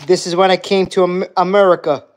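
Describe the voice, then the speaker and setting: A man's voice: high-pitched, little pitch movement, brisk. A young man from Hungary hosting a casual livestream, chatting with viewers and reading out their comments, filmed on a handheld phone in a house under renovation. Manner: chatty, reads viewer comments aloud, says guys often. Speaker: livestream host. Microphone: phone held at arm's length.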